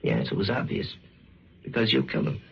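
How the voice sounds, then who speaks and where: An actor playing a firm, no-nonsense police detective, brisk and insistent, with a rough voice in old-time radio suspense. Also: male voice, sits low, big pitch swings, exaggerated voice. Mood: stern accusing. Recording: dull vintage radio recording.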